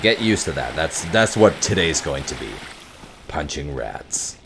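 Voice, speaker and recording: A young man with a deep voice, streaming a game and talking wryly into a close mic about his own failures. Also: very animated, unhurried, noisy background. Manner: self-deprecating, wry, muttering curses. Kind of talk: casual gameplay commentary. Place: home office. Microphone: close desk mic.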